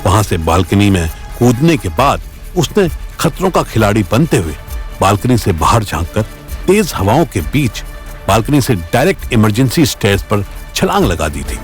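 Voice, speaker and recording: Very animated male story narrator. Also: mid-range voice. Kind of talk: dramatic scripted narration in Hindi. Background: faint background music.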